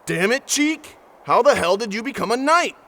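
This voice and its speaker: A man playing a pragmatic, gruff, exasperated soldier in an audio drama, with light ambient noise behind. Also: extremely high pitch, sing-song, normal speed, bright, forceful tone, full character voice. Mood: pained exasperation. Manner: tense, swearing, reasoning. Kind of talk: post-apocalyptic audio drama acting.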